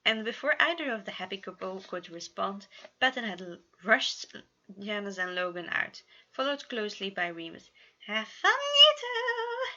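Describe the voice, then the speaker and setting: Bright-voiced woman reading a story, lo-fi. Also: medium pitch, expressive, moderate pace. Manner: stumbles over words and corrects herself. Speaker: amateur fan fiction reader. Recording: dull lo-fi home recording.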